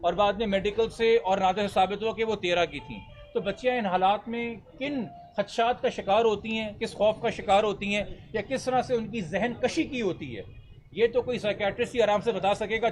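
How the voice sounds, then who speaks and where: High-pitched Pakistani man, formal and emphatic. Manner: articulate, formal, emphatic, mixing in English legal terms. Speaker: lawyer speaking to reporters. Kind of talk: press statement answering reporters' questions.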